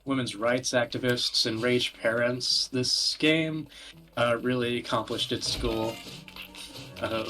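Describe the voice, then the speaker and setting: A man giving casual podcast commentary, explaining things in a wordy, halting way. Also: mid-range voice, fairly even intonation, bright, forceful tone, poor audio. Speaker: podcast host presenting video games. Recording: music playing behind the voice. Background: background music.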